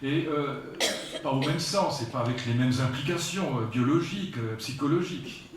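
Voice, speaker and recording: A man's voice: medium pitch, normal speed, noisy background. A man in his sixties from France giving a public philosophy lecture, talking in a digressive, emphatic way with asides to the audience. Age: 60s. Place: lecture hall. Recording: very echoey hall, voice picked up from far off.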